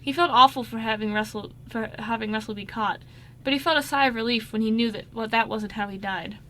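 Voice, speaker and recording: A woman with a bright, forward voice narrates an amateur radio play. She sits fairly high in pitch, with faint noise behind her. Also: a little fast.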